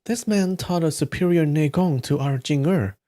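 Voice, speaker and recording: Wary female martial arts master, read by a man, raised in pitch yet mellow, questioning, storybook delivery. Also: normal speed, lightly acted.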